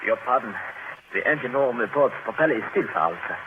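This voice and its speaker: Deferential, hesitant male naval lieutenant, an actor's role; lively swooping pitch, hissy vintage radio sound. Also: fast, natural voice. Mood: uneasy. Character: dutiful, uneasy male submarine lieutenant. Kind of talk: old-time radio drama acting. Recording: hissy, narrow-band old radio recording.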